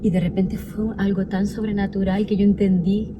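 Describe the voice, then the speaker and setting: A woman's voice: medium pitch, little pitch movement, normal speed. A woman in her forties, born in El Salvador, giving an earnest personal testimony as an interview guest. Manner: earnest, reflective storytelling. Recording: noisy background ambience.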